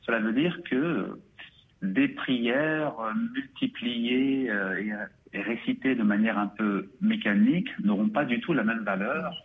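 A man, a religious scholar guest, explaining theology slowly and hesitantly, often pausing for words. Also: medium pitch. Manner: hesitant, searching for words with many fillers. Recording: phone line.

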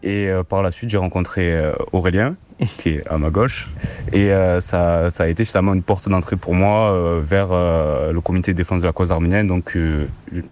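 Young man, twenty, very deep voice, earnest, lots of fillers. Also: steady tone, normal speed, darkish tone. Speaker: student guest. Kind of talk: casual interview.

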